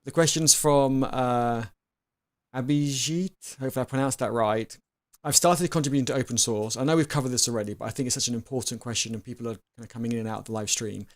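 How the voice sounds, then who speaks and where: Animated man hosting a live stream. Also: mid-range voice, fast. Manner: animated, acts out quotes, repeats words. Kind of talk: casual live stream chat.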